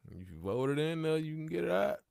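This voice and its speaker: A man's voice: a little high, sing-song, a little fast, a little mellow, no echo, clean studio sound. A man in his thirties hosting a livestream, talking casually with slang and repeating his points. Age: 30s. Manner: gravelly, conversational, repeats himself, street slang. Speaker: livestream host.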